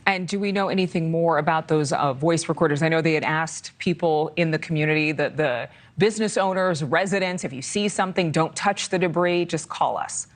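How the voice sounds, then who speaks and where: Low-voiced female news anchor. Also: a little fast, hardly any pauses. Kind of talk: live news broadcast questioning.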